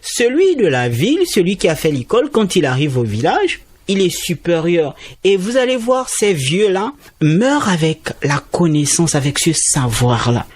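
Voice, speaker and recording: Guy on radio, kinda high voice, explains stuff with lots of emphasis. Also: sing-song, normal speed, hardly any pauses, breathy edge. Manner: explanatory, emphatic with rising pitch. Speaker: guest, student forestry trainee. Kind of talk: radio interview.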